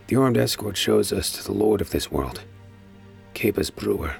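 An actor playing a weary, uneasy space pirate with a brooding manner, as in a first-person audio drama. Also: male voice, fairly low pitch, animated, moderate pace, creaky. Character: weary, uneasy male space pirate narrating his ordeal. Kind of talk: audio drama acting and narration. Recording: music behind the voice.

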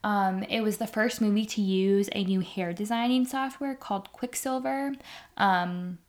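A woman's voice: medium pitch, fairly even intonation, a little slow. A woman co-hosting a movie podcast, talking casually with lots of ums and light laughs. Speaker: podcast co-host.

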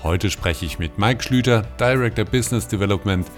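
Ein Mann moderiert im Studio einen Interview-Podcast und liest die Einleitungen geschliffen vom Skript ab. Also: male voice, fairly low pitch, brisk, faint music behind. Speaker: podcast host. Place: audio studio.

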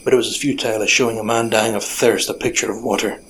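A man playing a gruff, weary old detective with a deadpan, sardonic manner, narrating a noir audio drama. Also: lowish voice, fast talker, slight background noise, natural voice, low-quality recording. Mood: brooding.

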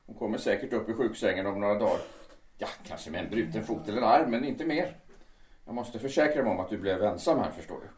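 A man's voice: lowish voice, animated, darkish tone, natural voice. A man playing a vengeful, menacing understudy. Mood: menacing calm. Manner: cold and deliberate, swelling into fervent outbursts. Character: bitter vengeful male understudy, calm and menacing. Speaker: understudy singer in a theatre. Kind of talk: amateur audio drama acting. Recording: roomy, distant mic.

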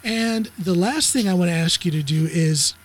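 A man's voice: high-pitched, normal speed, a little hiss or hum. A man hosting a podcast interview, encouraging and folksy, with lots of short affirmations. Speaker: podcast host. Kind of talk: casual interview chat.

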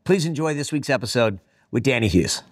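A man's voice: medium pitch, animated, fairly quick. A man hosting a podcast interview, upbeat and playful, and he often jumps in with remarks. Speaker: podcast host. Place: hotel interior.

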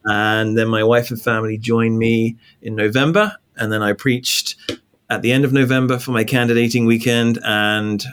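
A man in his forties from England with an English accent, a pastor telling his story in a relaxed interview over a call. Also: mid-range voice, normal speed, a little hiss or hum. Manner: relaxed storytelling, frequent ums, light laughs. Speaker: pastor, guest. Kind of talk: casual interview talk. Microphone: video call.

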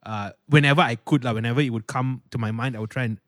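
A man with a baritone voice hosting a podcast, chatting casually in a playful, self-deprecating way. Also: fairly even intonation, quick pace, no room sound, studio quality. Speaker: podcast host.

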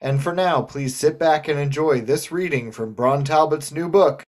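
A woman's voice: sits low, normal speed, a little mellow. A woman host moderating a scripted comic radio talk show.

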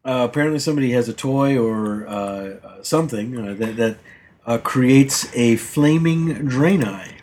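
A man's voice: medium pitch, a little slow, darkish tone, breathy edge. A man co-hosting a casual gaming podcast, rambling through his week with many fillers and restarts and teasing the others. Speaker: podcast co-host.

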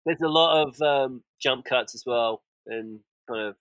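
Man, mid pitch, dry close sound, easygoing rambling chat. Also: unhurried, fairly bright tone, dry recording. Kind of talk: casual film commentary chat.

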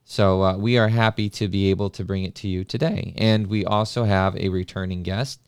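A man hosting a podcast in a casual, folksy, halting way. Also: sits low, fairly even intonation, clear, resonant tone, no echo. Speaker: podcast host.

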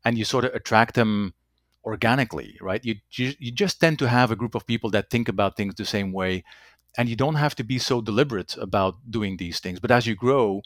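Low-voiced man, halting speech. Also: no echo, studio quality. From Belgium. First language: Dutch. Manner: halting, repeats words, tags sentences with "right?". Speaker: company executive guest. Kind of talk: conversational interview answers.

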